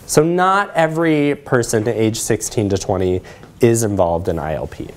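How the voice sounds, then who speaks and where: A man's voice: lowish voice, lively intonation, moderate pace, a little hiss or hum. A man around forty with an American accent, explaining things as a presenter taking questions in a lecture room.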